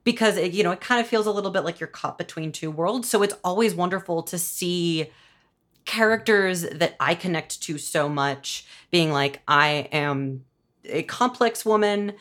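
A woman's voice: on the low side, moderate pace, a bit bright. A woman from the American South hosting a casual podcast chat, earnest and discursive as she thinks aloud. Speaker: podcast host, audio drama showrunner.

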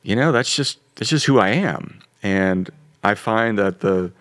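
Man, 50s, very low pitch, dry close sound, easygoing joker. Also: measured pace, dry recording. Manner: relaxed, self-deprecating, joking. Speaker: pastor. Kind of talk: casual podcast conversation.

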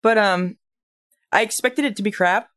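Casual podcast co-host, medium-pitched. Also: normal speed, a bit bright. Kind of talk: casual film discussion.

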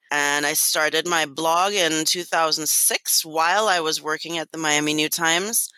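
A woman from Trinidad with a Trinidadian accent, a beauty blogger guest who tells her story in an animated way and laughs often. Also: deep voice, dry recording, low-quality recording. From Trinidad and Tobago. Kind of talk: interview answers.

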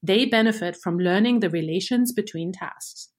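Woman, native German speaker, research engineer, measured explanation, mid pitch. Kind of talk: scripted explanation.

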